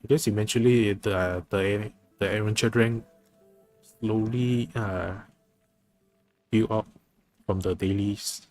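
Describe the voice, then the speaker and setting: A man giving casual, somewhat halting commentary while livestreaming a video game. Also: fairly low pitch, steady tone, a little mellow. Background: faint game music.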